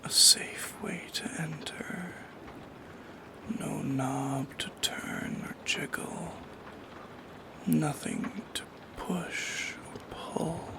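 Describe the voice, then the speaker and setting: A man's voice: on the high side, fairly even intonation, full character voice. Man as a whispering, lonely shadow creature. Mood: intimate, coaxing. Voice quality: breathy whisper. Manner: slow, hushed, poetic, lingering pauses. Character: lonely, yearning shadow creature, male, gently eerie and intimate. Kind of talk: soft-spoken ASMR roleplay monologue.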